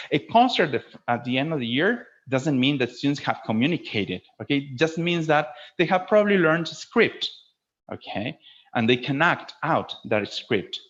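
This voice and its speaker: A man with a medium-pitched voice lectures fellow teachers in an online webinar. His delivery is didactic, with frequent short check-in questions closing his phrases. The audio is dull and lo-fi. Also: a bit bright. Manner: didactic, often ending phrases with a checking "okay?".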